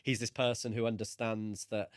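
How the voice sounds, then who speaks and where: A man's voice: fairly low pitch, flat delivery, normal speed, no room sound, clean studio sound. A man co-hosting a podcast, talking casually and thoughtfully about a book. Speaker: podcast co-host.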